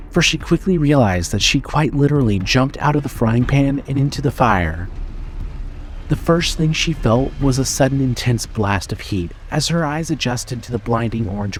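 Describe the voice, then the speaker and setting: A man's voice: mid-range voice, expressive, moderate pace. A man narrating a scripted horror story in a dramatic style. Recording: loud ambient noise behind voice.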